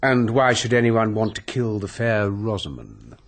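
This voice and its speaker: A mocking young British heir in a radio play. His voice is fairly low with animated, sarcastic swings, flippant throughout. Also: moderate pace, creaky, full character voice. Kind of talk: radio drama acting.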